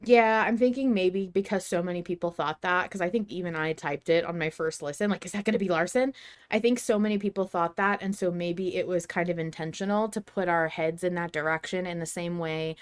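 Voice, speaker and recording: A woman hosting a casual fan discussion podcast, theorizing in an animated way with some swearing. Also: fairly low pitch, a little fast, clean tone, no echo. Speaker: podcast host.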